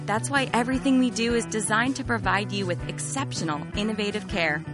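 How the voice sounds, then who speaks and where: A woman reading a scripted healthcare commercial in a smooth, polished manner. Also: medium pitch, brisk, over music. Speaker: ad voice-over.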